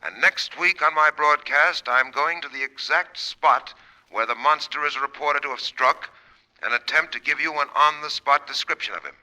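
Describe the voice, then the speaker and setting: An actor playing a radio teller of strange tales in a 1940s drama, declaiming in ominous announcer style. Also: male voice, on the high side, normal speed, sharp tone, rough recording. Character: dramatic male radio storyteller of eerie tales.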